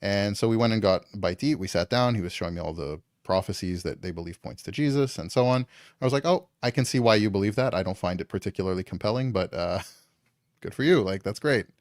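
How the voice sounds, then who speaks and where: A man from Canada, a guest speaking in a notably deep register. He rambles casually, filling gaps with ums and likes. His voice sounds close and dry, with no room around it. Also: fast, no room sound. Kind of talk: casual conversation.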